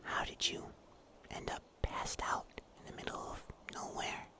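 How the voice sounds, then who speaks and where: Whispering, unhurried huntsman, acted by a man. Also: natural voice. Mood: ominous, wry. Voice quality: breathy, unvoiced whisper. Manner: slow, hushed, gently teasing. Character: mysterious huntsman in dark woods, wry and protective. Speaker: ASMR roleplay performer. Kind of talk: whispered ASMR roleplay.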